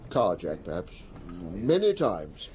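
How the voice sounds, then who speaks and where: Offhand police doctor, lively intonation. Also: male voice, deep voice, takes it slowly, slight background noise, heavily acted. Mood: matter-of-fact. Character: matter-of-fact male police doctor examining a corpse. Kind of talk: detective audio drama acting.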